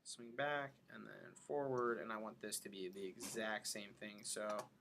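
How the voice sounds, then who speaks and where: A man giving an informal software tutorial, thinking aloud as he demonstrates each step. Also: lowish voice, steady tone, measured pace, gravelly, a little hiss or hum. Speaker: animation instructor. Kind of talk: casual screen-recorded tutorial narration.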